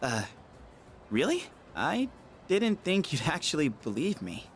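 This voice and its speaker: A man's voice: a little high, huge pitch swings, measured pace, faint music behind, exaggerated voice. An actor voicing a cocky, goofy but earnest teenage boy in a video game, casual and sometimes awkward or theatrically boastful. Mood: sheepish, playful. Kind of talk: English video game voice acting.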